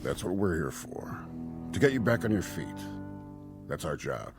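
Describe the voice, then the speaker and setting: Low, lively American hospital doctor. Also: male voice, normal speed. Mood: reassuring. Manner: explanatory, reassuring. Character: concerned, businesslike male hospital doctor. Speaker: actor. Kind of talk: radio drama acting. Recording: studio radio drama with faint music.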